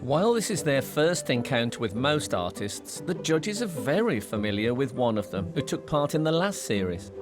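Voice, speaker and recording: A man narrating a television art competition in a measured, scripted voice-over. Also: medium pitch, big pitch swings. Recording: voice-over with music behind.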